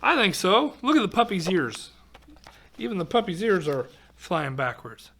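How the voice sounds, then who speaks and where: A man's voice: high voice, big pitch swings, normal speed, vocal fry, fairly bright tone, husky. A man reading a rhyming picture book aloud to children, expressively, with exclamations and chatty asides. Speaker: volunteer storybook reader for children.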